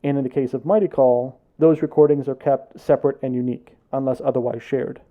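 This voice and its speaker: A man's voice: medium pitch, fairly even intonation, a little fast, narrow, tinny audio. An American man presenting a product explainer as scripted voice-over narration for a tech consultancy. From United States.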